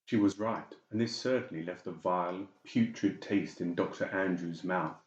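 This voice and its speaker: Deep-voiced man narrating a dark audiobook. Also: normal speed, echoey room, lo-fi sound. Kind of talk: dramatic scripted narration.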